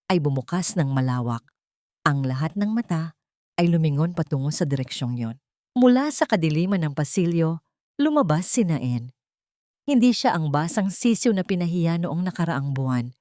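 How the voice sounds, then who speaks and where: A woman's voice: on the low side, mellow, no echo, clean studio sound. A woman narrating a scripted love story in a solemn, dramatic manner.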